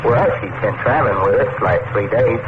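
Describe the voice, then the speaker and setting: A man's voice: lowish voice, brisk, close to an everyday voice. A man acting in a radio serial as a brash, folksy, skeptical Texan adventurer, blunt and wisecracking, with a Texan accent. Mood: puzzled, casual. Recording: noisy, tinny old radio recording.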